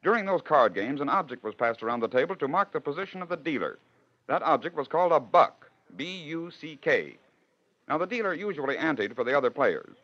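A man's voice: fairly high pitch, sing-song, a little fast. An American man hosting and narrating a 1940s radio program with wry, theatrical storytelling. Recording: dull, lo-fi 1940s radio recording.

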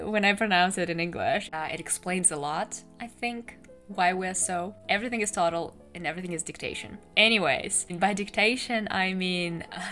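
Young woman, native Russian speaker, somewhat low voice, casual book chat, desk mic, faint background music. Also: sharp tone. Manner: chatty, many ums and uhs. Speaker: book vlogger. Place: home room with bookshelves, indoors. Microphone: desk podcast mic on a boom arm.

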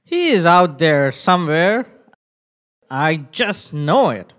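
A man voicing an elderly fisherman grandfather in a children's puppet show, with a slow, drawn-out storytelling manner. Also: on the high side, big pitch swings, fairly bright tone, heavily acted. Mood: wistful.

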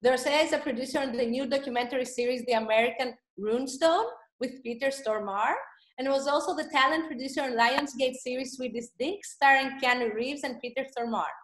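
A woman with a high voice hosts a webinar, welcoming and introducing. She speaks on a video call in a small room, with noticeable echo. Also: a little fast, fairly bright tone. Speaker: event host and organizer. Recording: roomy, echoing laptop microphone.

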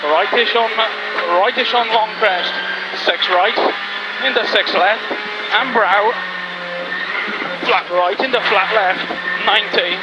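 A rally co-driver reading pace notes in clipped calls over a headset inside a car. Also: male voice, high voice. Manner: clipped rapid-fire calls. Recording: in-car intercom over loud engine. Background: loud engine and road noise.

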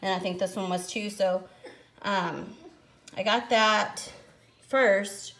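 A woman's voice: medium pitch, steady tone, takes it slowly, vocal fry, a bit bright. An American woman in her thirties chats informally in a rambling, explanatory way, like a family vlogger showing groceries. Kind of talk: casual grocery haul vlog chat. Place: indoor home kitchen at a counter. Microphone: handheld phone behind the camera. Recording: echoey room, crinkling package noise. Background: plastic packaging crinkles and clicks.

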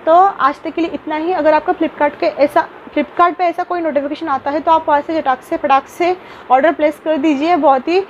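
A woman's voice: high voice, fairly even intonation, normal speed, lo-fi sound, a little hiss or hum. A young woman chatting casually through an unboxing vlog in a small room, talking into a phone camera with lots of fillers and restarts, mixing English words into her sentences. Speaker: vlogger.

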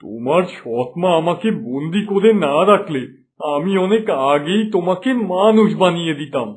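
Man acting a solemn, higher-voiced holy sage. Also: expressive, normal speed, natural voice. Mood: solemn, reassuring. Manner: solemn, assured. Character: benevolent, resolute holy sage with mystic powers. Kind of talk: cartoon fairy tale acting.

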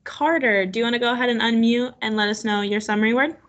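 A woman's voice: a little high, fairly even intonation, fast, dull audio, noisy background. Woman teacher reading aloud to class. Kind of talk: read-aloud lesson for children. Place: online video class call. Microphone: video call.

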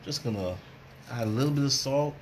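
A man's voice: medium pitch, fairly quick, a little hiss or hum. A middle-aged man hosting a casual cooking demonstration, chatty and repeating phrases for emphasis. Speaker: chef and cooking show host.

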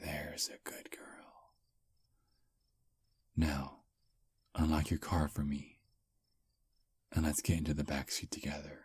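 Seductive vampire played by a man for ASMR roleplay: very deep, slow, murmured whispers. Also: completely flat delivery, husky, heavily acted. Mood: hypnotic. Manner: low soft hypnotic murmur, frequent whispers. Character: seductive male vampire, calm and predatory.

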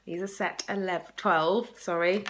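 Woman, 30s, lowish voice, chatty and enthusiastic home vlogger, casual delivery to a phone. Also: fairly even intonation, moderate pace, a bit bright. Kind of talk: casual chat to camera. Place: small room at home by a window, indoors. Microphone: phone at arm's length.

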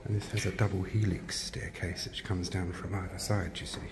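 A man filming a casual walking tour of a church, chatting politely and thinking aloud, sometimes dropping to a hush. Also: low-pitched, little pitch movement, fairly quick, background noise. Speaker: vlogger giving a walking tour. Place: large church interior and crypt.

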